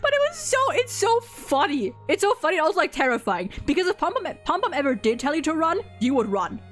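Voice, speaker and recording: A woman talking casually about a game she plays, in an animated, gushing manner and acting out quotes as she goes. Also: high-pitched, a little fast, faint music behind. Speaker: co-host ranking game story quests. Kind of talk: casual gaming chat.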